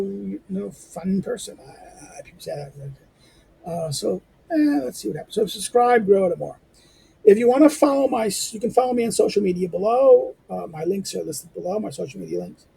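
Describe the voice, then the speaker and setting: A hoarse man hosting a lecture channel, rambling through his outro with lots of fillers, restarts and asides. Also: dark tone, rough recording. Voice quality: squeaky. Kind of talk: informal spoken outro to a reading lecture.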